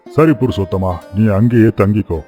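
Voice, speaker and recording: Low-voiced, kindly village employer played by a man. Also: mellow, faint music behind, natural voice. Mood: approving, kindly. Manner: measured, authoritative, benevolent. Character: kindly, practical older village farmer and cattle-owning employer. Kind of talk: animated moral story dialogue.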